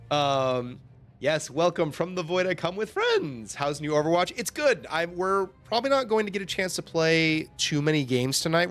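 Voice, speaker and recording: A young man hosting a casual livestream, rambling and laughing often, his voice getting hoarse from talking. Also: mid-range voice, a bit bright. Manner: chatty, rambling, laughs often, lots of fillers. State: losing his voice, throat-clearing. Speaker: livestream host. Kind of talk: casual game overview chat.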